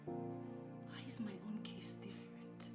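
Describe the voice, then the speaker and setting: High-pitched aggrieved Nigerian wife. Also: female voice, big pitch swings, fairly quick. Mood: despairing. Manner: emphatic, repetitive, pleading rhetorical questions. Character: aggrieved wife, fed up, anguished and prayerful. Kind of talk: melodramatic film acting. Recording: roomy echo, music underneath.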